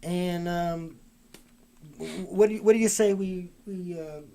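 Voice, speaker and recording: Halting talk show host. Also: male voice, sits high, gravelly, warm tone. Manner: halting, with many fillers and restarts. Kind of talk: casual live-stream interview.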